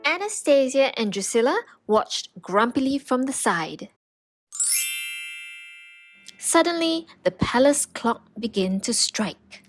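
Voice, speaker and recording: A woman narrating a children's storybook in an expressive read-aloud style. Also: sits high, a little slow, few pauses, faint music behind.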